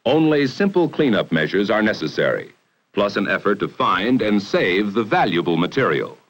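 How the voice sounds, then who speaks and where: An American man narrating a 1960 educational film in a formal, measured, authoritative way, on a vintage film soundtrack. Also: fairly low pitch, big pitch swings. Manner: formal, measured, authoritative delivery with emphatic phrasing.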